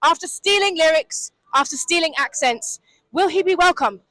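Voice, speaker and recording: A woman hosting street interviews outdoors in London, reporting in a playful, deadpan mock-news style. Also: very high-pitched, moderate pace, no room sound, poor audio.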